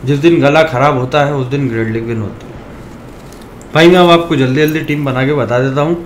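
A hoarse man from India, sick with a sore throat, chatting to his viewers in a fairly high voice. He calls them brothers and rants loudly at times. Faint noise hums under the recording. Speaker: fantasy cricket YouTuber. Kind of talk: casual talk to viewers.

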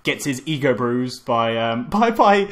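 A man's voice: mid-range voice, very animated, unhurried. A man co-hosting a casual movie review podcast, rambling through scenes with lots of ums and restarts and laughing mid-sentence. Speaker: podcast co-host.

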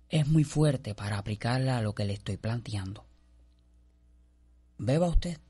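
A Cuban actor playing a calculating, persuasive colonial gentleman in a radio drama, with a formal, insistent manner. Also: mid-range voice, husky, full character voice.

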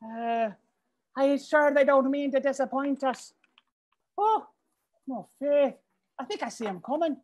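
A woman voicing a man, knight, cheerfully morbid, genial, high. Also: animated, measured pace, pauses often, darkish tone. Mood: eager. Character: eager male Irish duelling knight.

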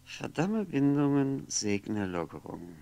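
An actor playing a lonely, brooding man in a radio drama monologue, musing haltingly to himself, wistful and wry, at times dropping to a whisper. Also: mid-range voice, big pitch swings, vocal fry, mellow. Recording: noisy 1960s radio recording, faint hum.

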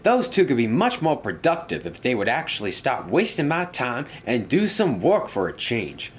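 A man's voice: mid-range voice, huge pitch swings, moderate pace, slight background noise, pitched above the performer's own voice. Man voicing grumbling, proud cartoon train engine. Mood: irritated, dismissive. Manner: grumbling, exasperated. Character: proud, irritable male steam engine, dismissive and jumpy.

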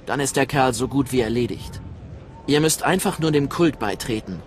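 A man playing a proud, grave Sith apprentice recruiter in a German game dub, formal and measured. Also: mid-range voice, a little hiss or hum, natural voice. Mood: scornful, instructing.

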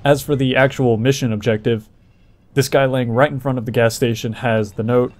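A man's voice: mid-range voice, fairly even intonation, normal speed. A man doing casual, explanatory gameplay walkthrough commentary as the host, talking informally to viewers.

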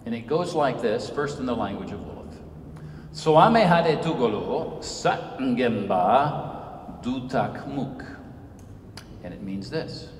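A man in his fifties from Senegal, giving a measured, earnest public lecture from a podium in a church. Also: medium pitch, lively intonation. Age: 50s. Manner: measured, earnest, rises and falls for emphasis. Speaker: guest speaker, author. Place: large church sanctuary. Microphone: podium mic, recorded from far off. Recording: echoey church, distant mic, faint hiss.

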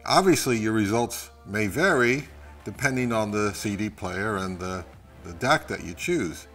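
A man's voice: lowish voice, expressive, unhurried, fairly bright tone, faint music behind. A middle-aged man, narrating a product review of audio gear.

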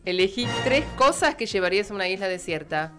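A woman's voice: medium pitch, lively intonation, fairly quick. A woman with a Rioplatense Argentine accent co-hosting a radio show in casual studio chat. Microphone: close studio microphone with headphones. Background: music.

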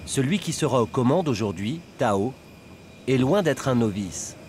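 Man, documentary voice-over, scripted narration, medium pitch, faint ambient noise. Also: lively intonation, a little slow, a little mellow. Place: voice-over over location sound.

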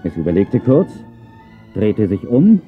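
A man's voice: on the low side, big pitch swings, a little slow. A man narrating a scripted children's story in a dramatic storytelling manner. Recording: dull cassette sound with music.

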